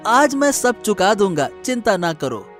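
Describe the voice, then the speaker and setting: A man acts out a poor farmer in an animated village tale. He is meek and friendly, with a placating, hesitant delivery that tends to plead. Faint music plays underneath. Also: high voice, a little slow, exaggerated voice. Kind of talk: animated moral story acting.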